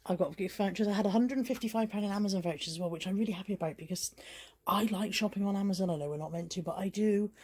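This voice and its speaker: A woman with a gravelly voice, tired and hot, chatting casually to camera for her vlog. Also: medium pitch, racing, few pauses, a little mellow, no echo. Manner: chatty, self-deprecating, rambling. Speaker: vlogger. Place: parked car.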